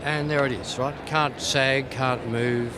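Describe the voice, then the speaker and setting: A man's voice: mid-range voice, fairly even intonation, slow pace, background noise. A man giving an informal, playful product demonstration as a company representative at a trade show. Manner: tongue-in-cheek, playful.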